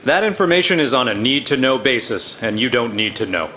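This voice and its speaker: Stern cartoon corporate handler, middle pitch, curt mission briefing, slight background noise. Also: male voice, sing-song, a little fast, bright, forceful tone. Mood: brisk, commanding. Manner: curt, commanding.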